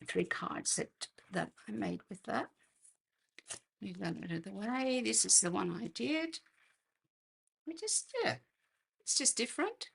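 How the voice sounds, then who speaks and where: An Australian woman with a croaky voice hosts a casual live craft tutorial, chatting and murmuring to herself as she works. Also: medium pitch, lively intonation, a bit bright, a little hiss or hum. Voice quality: husky, croaky. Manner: unhurried, chatty, murmuring to herself while working. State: croaky voice, clearing throat. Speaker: host of a craft demonstration livestream.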